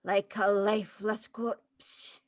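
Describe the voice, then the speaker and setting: A woman's voice: mid-range voice, level tone, slow pace, dry recording, clean studio sound, lightly acted. A woman playing a tipsy, cantankerous older woman in a drama table read, blunt and indignant with muddled words. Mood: mouthy, mischievous.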